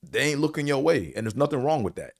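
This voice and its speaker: A man with a deep voice and a slight stutter, giving a blunt, profane, rambling opinion monologue as a show host, full of fillers. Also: sing-song, fast, gravelly. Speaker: show host reviewing a series.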